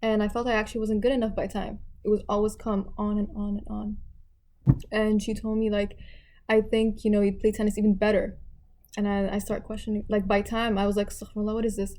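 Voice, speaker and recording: Young woman guest from Abu Dhabi, mellow tone, animated storyteller who does voices for others. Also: mid-range voice, a little fast. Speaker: guest, tennis player. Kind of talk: casual podcast chat.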